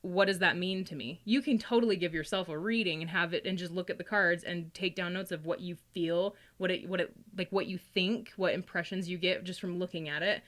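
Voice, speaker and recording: Lower-pitched woman, chatty and informal. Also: steady tone, fairly quick, few pauses, fairly bright tone. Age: adult, parent. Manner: chatty, informal, frequent laughing asides. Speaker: guest, tarot and astrology reader. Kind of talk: casual chat, explaining.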